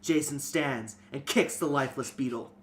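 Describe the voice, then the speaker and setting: A man's voice: mid-range voice, normal speed. A man reading scripted narration for an amateur fantasy reader's theater.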